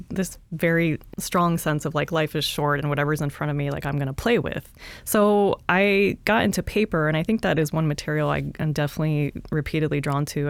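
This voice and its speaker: Woman, quiet-voiced interview guest, loose chatty delivery full of fillers, dry close mic. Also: low-pitched, dry recording. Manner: soft-spoken, chatty with many fillers and light laughs. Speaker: artist and teacher, interview guest. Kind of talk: casual interview conversation.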